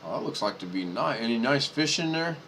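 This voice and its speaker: A man's voice: medium pitch, expressive, fairly quick, a bit bright, a little hiss or hum. Easygoing man hosting a video chat. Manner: easygoing, talks while working the screen. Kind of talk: casual chat.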